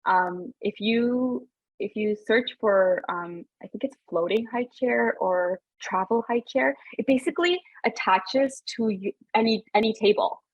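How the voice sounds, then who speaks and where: Chatty thirties woman guest, husky, dull dry recording. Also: a little high, measured pace, no room sound. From Sri Lanka, raised in Canada. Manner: chatty, lots of "like" and restarts, laughs easily. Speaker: podcast guest, business founder. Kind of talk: casual interview chat.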